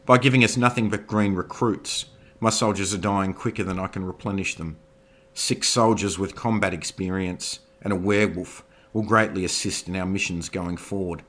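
A man's voice: low-pitched, steady tone, moderate pace, fairly bright tone. A man voicing a composed male commander arguing on the prisoners' behalf. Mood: persuasive.

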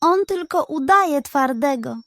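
An unflappable storybook pig detective, played by a woman, speaking in a raised pitch. He sounds sceptical and dismissive, reasoning things out at an unhurried pace. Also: no echo, studio quality, exaggerated voice. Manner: dismissive, calmly reasoning, muttering.

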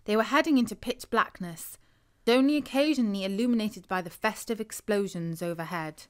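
A woman's voice: medium pitch, a little fast. A woman with a British accent narrating a scripted bedtime story for children.

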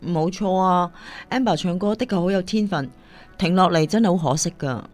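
A woman's voice: lowish voice, fairly quick, darkish tone, faint music behind, lightly acted. A woman acting in a Cantonese radio drama as a caring, firm singing teacher, earnest and admonishing, with a Hong Kong accent.

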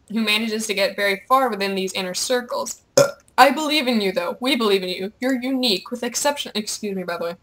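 A young woman gamer with a playful, excitable, teasing manner, chatting over gameplay and reading game dialogue aloud. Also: mid-range voice, poor audio. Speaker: gamer, video host.

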